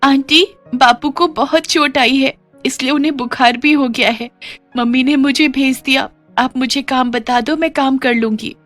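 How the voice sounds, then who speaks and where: An innocent young girl from a poor household, voiced by a woman for a cartoon tale. Her voice is high, childlike and plaintive, with earnest worry in it. Music plays faintly underneath. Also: steady tone, moderate pace, fairly bright tone. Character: innocent, worried young daughter of a poor family.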